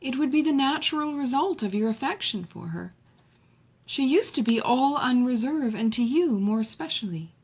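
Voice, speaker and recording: Woman reading as a sensible, pleading young sister, husky. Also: sits high, animated, moderate pace, darkish tone, dull audio, pitched above the performer's own voice, full character voice. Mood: concerned, pleading. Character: sensible, uneasy young sister urging her mother. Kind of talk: audiobook character dialogue from a classic novel.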